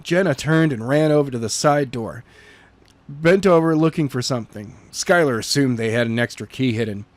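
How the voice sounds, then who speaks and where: A man's voice: mid-range voice, dry recording. A man reading a chapter of a novel aloud in an unpolished way, often stumbling and repeating words. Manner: halting, with frequent repeats and restarts. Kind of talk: unpolished read-aloud narration.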